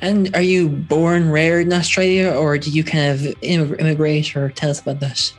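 A man hosts a podcast with a casual interviewing style. His voice sits fairly high for a man, and faint music is heard in the recording. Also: flat delivery, moderate pace. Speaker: podcast host.

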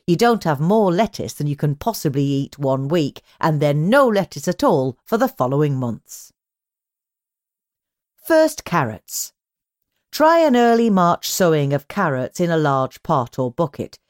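A woman reading magazine articles aloud as a scripted narration, in a measured, instructional way with clear phrasing. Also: low voice, darkish tone, no room sound, studio quality. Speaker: magazine audio edition narrator.

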